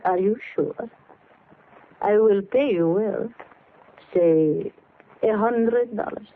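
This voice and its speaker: Slow, seductive woman of mystery. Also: mid-range voice. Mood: cool, seductive. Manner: slow, poised, teasing. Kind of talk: 1940s radio acting. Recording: muffled, noisy old radio recording.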